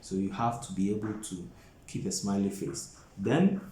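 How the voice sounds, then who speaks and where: A man in his thirties lecturing as an exam preparation tutor, speaking deliberately and repeating phrases for emphasis. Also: lowish voice, dark tone. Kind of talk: instructional lecture to camera. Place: small plain indoor office. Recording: roomy echo, faint background noise.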